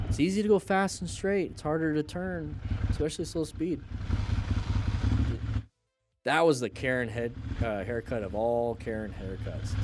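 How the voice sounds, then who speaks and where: A man's voice: a little high, noisy background. A man hosting a casual livestream, reviewing motorcycle clips and chatting loosely between them, his voice stuffy and nasal from congestion. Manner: chatty, loose asides, plugs a sale.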